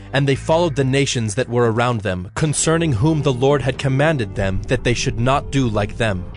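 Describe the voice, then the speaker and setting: Solemn male scripture narrator. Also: mid-range voice. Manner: measured, formal.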